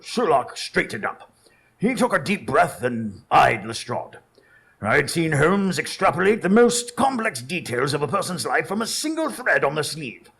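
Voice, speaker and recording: A man's voice: fairly high pitch, very animated, moderate pace, creaky, a bit bright, slightly rough, heavily acted. A man playing an earnest, easily indignant loyal companion who also narrates a radio drama in formal storytelling style. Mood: reflective narrating. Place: small theatre stage, recorded live in front of an audience.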